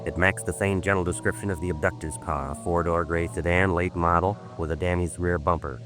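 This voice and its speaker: An actor playing a calm, methodical police sergeant who narrates a 1950s radio crime drama in a flat, terse, matter-of-fact manner. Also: male voice, low-pitched, brisk, lightly acted. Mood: matter-of-fact, clipped. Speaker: narrator and lead detective. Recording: vintage 1950s radio recording with music.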